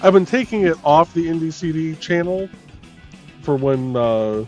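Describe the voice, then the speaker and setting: Relaxed man explaining stream tech. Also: fairly high pitch, unhurried, soft background music. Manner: relaxed, explains technical issues. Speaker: co-host handling stream tech. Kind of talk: casual chat.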